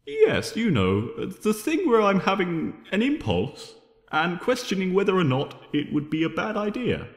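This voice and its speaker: A man's voice: high voice, huge pitch swings, measured pace, pitched above the performer's own voice, heavily acted. Man playing a wildly swooping flippant chaos creature. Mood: hesitant, chatty. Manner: glib, hammy, playful. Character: flippant, theatrical male chaos creature, easily bored. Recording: long reverb.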